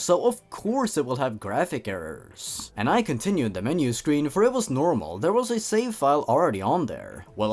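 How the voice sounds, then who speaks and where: Male comedy commentator, slightly high voice, very animated; theatrical story reading broken up by sarcastic remarks. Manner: theatrical emphasis with wry, sarcastic asides. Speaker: comedy video host reading and commenting on horror fan fiction. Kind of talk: comedic commentary mixed with dramatic story read-aloud.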